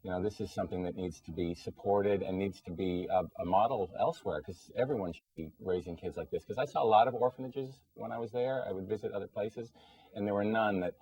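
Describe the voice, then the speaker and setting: Low-voiced, slightly husky man in an interview. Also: quick pace, darkish tone, low-quality recording. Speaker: interview guest, volunteer. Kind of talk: conversational interview answers.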